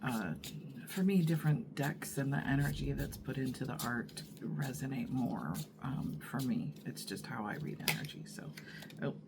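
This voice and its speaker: A woman reading tarot in an unhurried, musing way. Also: rumbling low, noisy background. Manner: unhurried, musing, many fillers and pauses. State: husky morning voice from allergies. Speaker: tarot reader. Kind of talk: casual tarot reading to camera.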